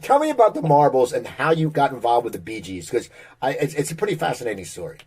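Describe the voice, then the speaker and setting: A man's voice: mid-range voice, big pitch swings, fast, a little mellow, breathy edge. A man hosting an interview, asking questions eagerly and restarting his sentences. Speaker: podcast host.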